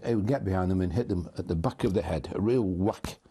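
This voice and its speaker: A man's voice: low voice, fast talker, dark tone, slight background noise. An older man, recalling past events in an interview. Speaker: interviewee recalling a police investigation. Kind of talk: interview recollection.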